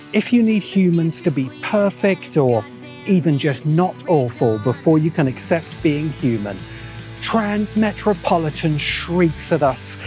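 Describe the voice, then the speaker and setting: A man narrating a scripted video essay in an emphatic, rhetorical way, with dramatic pauses. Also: a little high, a little mellow. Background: background music.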